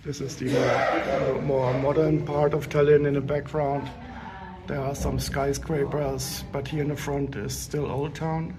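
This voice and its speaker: A man with a raspy voice and a sore throat, chatting casually with viewers on a live travel stream from a lookout tower. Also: medium pitch, flat delivery, measured pace, very noisy. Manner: chatty, answering viewers while touring. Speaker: livestreamer. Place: outdoors on a tower lookout above a city.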